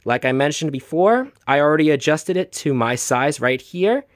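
A man's voice: fairly high pitch, animated, normal speed, dry recording, studio quality. A man giving a chatty show-and-tell product review, enthusiastic and fond of repeating emphatic phrases. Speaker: toy reviewer.

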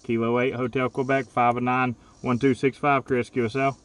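A man in his forties working a ham radio from a park, reading call signs and signal reports into a handheld mic in quick, repetitive bursts. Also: medium pitch, level tone, no room sound, distant mic. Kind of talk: rapid radio contact exchange. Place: outdoors in a park. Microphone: handheld radio microphone.

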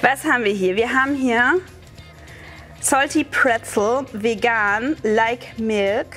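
High-voiced woman vlogging. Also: slow, fairly bright tone, faint music behind. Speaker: host of a DIY channel. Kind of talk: casual, chatty shopping challenge vlog. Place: inside a drugstore.

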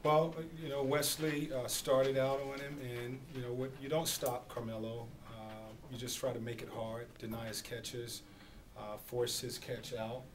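A middle-aged man, a basketball head coach, answering questions at a postgame press conference in a measured, halting way with many fillers. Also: medium pitch, flat delivery. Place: small press room at a podium. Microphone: podium microphone on a stand. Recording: distant camera audio, noisy room.

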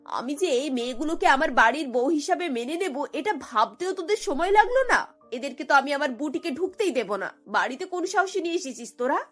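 A woman's voice: high voice, animated, brisk, fairly bright tone, soft background music. A woman voicing a snobbish, bossy middle-aged mother-in-law in a cartoon, scornful and dropping English words into her talk. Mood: outraged. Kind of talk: Bengali cartoon voice acting.

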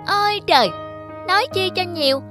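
A man's voice: extremely high pitch, moderate pace, over music. A man voicing a smug, boastful young award certificate in a cartoon, haughty and mocking. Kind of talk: cartoon character acting.

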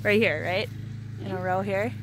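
A woman's voice: mid-range voice, fairly even intonation, normal speed. A woman talking casually with a young child as a mother filming outdoors, asking encouraging questions and repeating the child's words back. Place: outdoor backyard garden. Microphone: handheld phone camera held by the speaker. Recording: outdoor phone recording, faint background noise.

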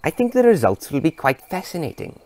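A man playing an excitable, erudite old male scientist in an audio drama, with a fussy, courteous, delighted manner. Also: on the high side, brisk, voice pushed up. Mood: fascinated.

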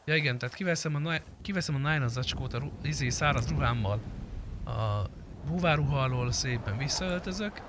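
A man giving casual live commentary on a roleplay game, chatting in slang and swearing as he addresses his viewers and friends. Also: mid-range voice. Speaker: game streamer. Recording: clearly noisy background.

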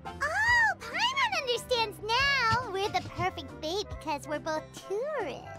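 Squeaky childlike fairy sidekick. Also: female voice, sing-song, measured pace, heavily acted. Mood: excited, eager. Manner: speaks of self in third person, exclamatory. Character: tiny floating fairy companion, childlike, eager, talkative. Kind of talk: video game cartoon voice acting. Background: game music.

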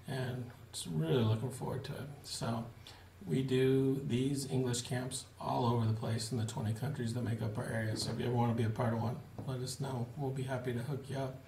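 A man in his forties giving a relaxed, plain-spoken video update to supporters from a small home office, with frequent fillers. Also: mid-range voice, fairly quick, creaky, few pauses, slight background noise. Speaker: missionary giving a family update. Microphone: webcam at desk. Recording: roomy webcam audio with faint ambience.

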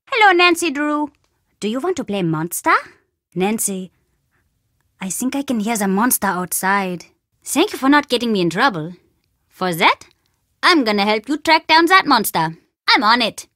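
Mischievous little boy for a video game: chatty, cheeky, animated delivery, dry close sound. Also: fairly high pitch, moderate pace, no echo. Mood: playful, eager. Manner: cheeky, dramatic, childlike bluster. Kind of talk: video game character dialogue.

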